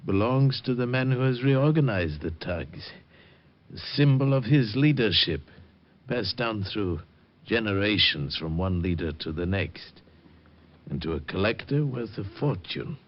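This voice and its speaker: Dying, urbane low-voiced crook, muffled radio. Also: male voice, lively intonation. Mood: grave, instructive. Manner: formal, deliberate, theatrical. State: wounded, dying, laboured. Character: dying, urbane crooked dealer in stolen art, male. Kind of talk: old-time radio drama acting. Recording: muffled old-time radio recording.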